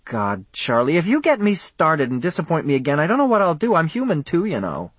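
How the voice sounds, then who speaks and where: Man voicing a teasing young woman, raised pitch, exclamatory, playful, audiobook figure. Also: huge pitch swings, fairly quick, breathy edge, no echo, full character voice. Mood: urgent.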